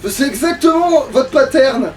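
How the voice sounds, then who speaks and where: A man's voice: extremely high pitch, lively intonation, normal speed, darkish tone, a little hiss or hum. A man giving casual, crude, grumbling commentary as he plays a video game. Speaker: let's player playing a video game. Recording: distant mic, music behind.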